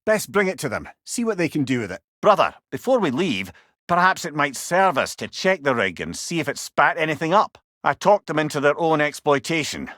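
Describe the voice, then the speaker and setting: Old Scottish sage, lively swooping intonation, wry, eloquent, rueful, video game companion, very dry close sound. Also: male voice, a little high, a little fast, no echo. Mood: reflective. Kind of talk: video game character dialogue.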